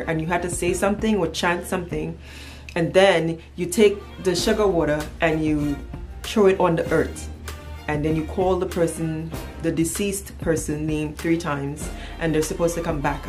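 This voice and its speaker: A woman's voice: fairly low pitch, darkish tone. A woman in her thirties with a Trinidadian accent, chatting casually to camera as a playful, emphatic storyteller. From Trinidad and Tobago. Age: 30s. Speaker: vlogger. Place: small furnished room at home, indoors.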